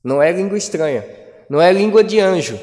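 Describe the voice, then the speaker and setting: A man preaches to his congregation in a lofty, high-pitched voice, leaning into dramatic pauses and emphatic phrasing. His words ring out through a church hall, trailing a long echo. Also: animated, darkish tone. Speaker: pastor. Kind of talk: sermon.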